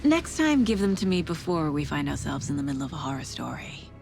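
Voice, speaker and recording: A woman's voice: deep voice, big pitch swings, fairly quick, creaky, full character voice. An actress playing a dry, level-headed female detective in a video game, wry and conversational. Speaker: detective partner. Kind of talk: cinematic video game dialogue. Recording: loud music under the voice.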